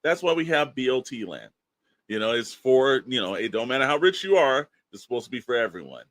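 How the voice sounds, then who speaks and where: A man with a medium-pitched voice talks as a podcast host in a very dry recording. His casual chat is opinionated and rambling, slipping into quoted asides with exasperated emphasis. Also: big pitch swings, fairly quick, a bit bright, no echo.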